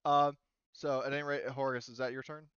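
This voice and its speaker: A man's voice: mid-range voice, level tone, brisk, fairly bright tone, clear, resonant tone, no echo, lo-fi sound. A man speaking casually as game master of a tabletop game, explaining options in a conciliatory way.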